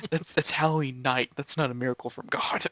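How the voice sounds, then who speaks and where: A man's voice: fairly high pitch, very animated, moderate pace, sharp tone, no echo. A man in casual joking banter, with a goofy, incredulous manner. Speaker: member of a gaming video group.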